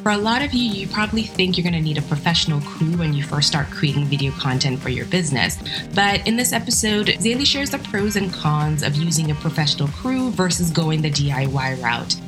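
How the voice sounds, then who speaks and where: A woman's voice: low voice, expressive, brisk, over music. A woman hosting a podcast, presenting and interviewing in a conversational style.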